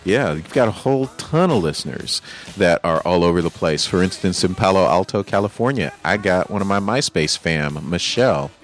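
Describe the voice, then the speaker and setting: Laid-back male radio DJ, low voice. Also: animated, moderate pace, soft background music. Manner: laid-back, chatty, laughs often. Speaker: radio DJ host. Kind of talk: casual radio banter.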